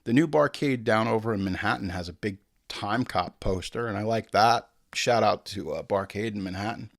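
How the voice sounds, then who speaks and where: A middle-aged American man talks casually as a podcast host, with a lowish voice in a very dry recording. He jokes freely and leans on hyperbole and swearing. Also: moderate pace, gravelly, no room sound. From Long Island, New York, United States. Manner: hyperbolic, joking, profane. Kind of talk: casual humorous monologue.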